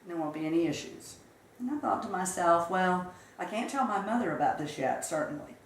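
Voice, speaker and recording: A woman in her fifties from South Carolina, recounting her life story in a measured, reflective way during an interview. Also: lowish voice, animated, roomy sound, slight background noise, rough recording. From Charleston, South Carolina. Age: 50s. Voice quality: measured, reflective, with occasional fillers. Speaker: interview guest.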